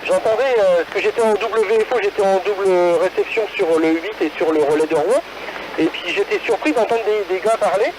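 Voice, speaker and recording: High-voiced man on very noisy two-way radio. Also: animated, a little fast. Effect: two-way radio transmission. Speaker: amateur radio operator. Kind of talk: casual radio chat between hams. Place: in a car, mobile. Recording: very noisy ham radio relay transmission. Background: loud radio and vehicle noise.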